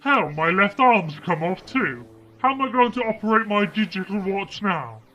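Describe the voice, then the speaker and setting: A woman's voice: medium pitch, expressive, moderate pace, a bit bright, dull audio, soft background music, natural voice. A woman playing a dazed, bewildered traveller describing strange visions in a comic sci-fi audio drama. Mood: dazed, alarmed.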